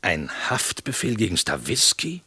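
An actor playing a haughty, corrupt gentleman, husky, scornful and demanding, in a radio play. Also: on the low side, wildly swooping pitch, measured pace, no room sound, clean studio sound, exaggerated voice. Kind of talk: German radio play dialogue.

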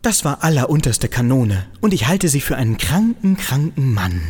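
A woman's voice: very deep voice, very animated, normal speed, a little mellow, breathy edge, long reverb tail, soft background music, full character voice. A woman playing a forthright but scatterbrained office clerk in a comic audio drama, earnest and pointed in her questions. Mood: cool, pointed.

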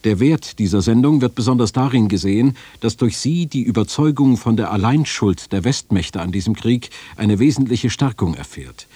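A man reading documents and quotations formally for a radio feature, in a measured, official-sounding way. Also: lowish voice, lively intonation, warm tone, slightly rough, no echo.

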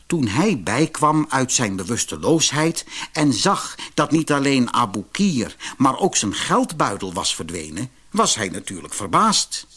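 A man narrating a fairy tale for a children's audiobook in an expressive, measured storytelling manner. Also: mid-range voice, husky.

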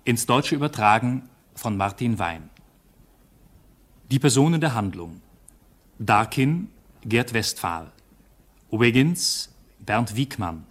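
A man's voice: mid-range voice, animated, takes it slowly, pauses often. A man reading scripted credits as a formal 1950s radio announcer.

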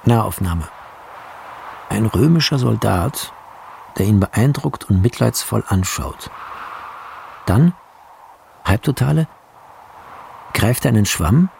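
A man's voice: lowish voice, expressive, takes it slowly, pauses often, darkish tone, slight background noise. A man narrating scripted shot descriptions in clipped, descriptive phrases. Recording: clear music behind the voice.

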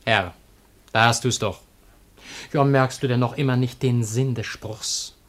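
A man's voice: medium pitch, fairly even intonation, a little slow, a bit bright, close to an everyday voice. Casting: male actor for impudent, loyal servant of antiquity, mid-range voice, blunt mockery, radio play. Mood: mocking. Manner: mocking, insolent, blunt. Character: cheeky, coarse, loyal servant of ancient Athens. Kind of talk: classic German radio play acting.

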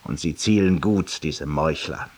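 A self-satisfied middle-aged man confides in a silent listener in a radio drama. His voice is very low and husky. He speaks in polished, theatrical phrases with an urbane, ironic manner. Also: a little slow, a little mellow, full character voice. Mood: sardonic. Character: self-satisfied, confessional middle-aged male barroom raconteur.

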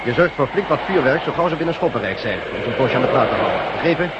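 Through a dull, noisy old recording comes a gruff camp chief played by a man in a radio drama. He speaks fast in a fairly high voice, domineering and used to giving orders. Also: lively intonation, close to an everyday voice. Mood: brisk, grim. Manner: commanding, brisk. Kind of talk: Dutch radio drama acting.

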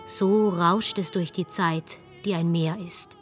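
A woman's voice: lowish voice, fairly even intonation, takes it slowly, faint music behind. A woman narrator delivering dramatic, poetic scripted narration in a radio play.

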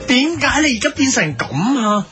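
A man's voice: extremely high pitch, sing-song, normal speed, pushed and bright, soft background music, heavily acted. An actor with a Hong Kong Cantonese accent playing an exasperated young man who scolds an old classmate, pleading and reproachful, in a comedic radio drama.

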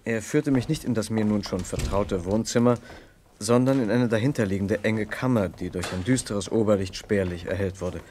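An actor playing an earnest, troubled gentleman who narrates an old German radio crime play in a careful literary manner. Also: fairly low pitch, slight background noise, close to an everyday voice. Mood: grave, narrating. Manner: measured, literary storytelling, hesitant in dialogue. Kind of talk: mid-20th-century German radio crime drama narration and acting.

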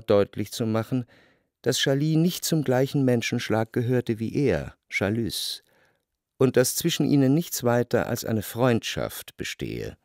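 An actor playing a reflective, well-off man of letters, narrating a literary radio play in a measured, analytical way. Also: mid-range voice, a little mellow, no echo, studio quality. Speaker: first-person narrator.

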